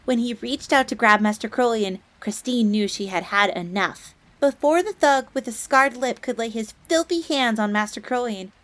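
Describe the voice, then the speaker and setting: A woman's voice: on the high side, moderate pace, few pauses, fairly bright tone, natural voice. A woman playing a bubbly, devoted fox maid with a fierce streak, eagerly deferential and speaking of herself in the third person in an audio roleplay. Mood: proud, animated storytelling. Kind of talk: dramatic audio roleplay storytelling.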